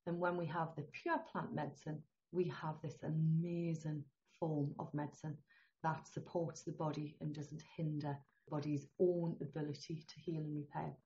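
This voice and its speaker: A middle-aged to older woman with a northeast English accent, a holistic healthcare guest talking in a chatty, animated way and laughing often. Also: low voice, moderate pace. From northeast England. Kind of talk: conversational interview. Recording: dull lo-fi sound.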